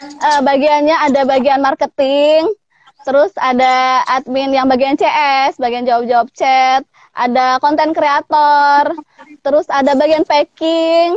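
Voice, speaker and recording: A woman's voice: high-pitched, little pitch movement, measured pace, no room sound, lo-fi sound. A woman from Palembang in her thirties, a food business owner chatting casually in an interview over a video call, with frequent laughs. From Palembang, Indonesia. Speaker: pempek business owner, guest.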